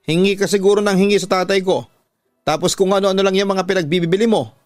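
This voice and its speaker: A man's voice: high-pitched, fairly even intonation, fast talker, no echo, studio quality, voice pushed up, lightly acted. A man playing a hardworking, aggrieved daughter, indignant and pleading, in a radio drama. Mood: angry. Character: hardworking, aggrieved grown daughter standing up to father. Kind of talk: Filipino radio drama acting.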